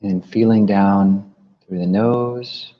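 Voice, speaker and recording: A man leading a guided meditation as a teacher, gently instructing with long pauses between phrases. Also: lowish voice, fairly even intonation. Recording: muffled, long reverberant hall echo.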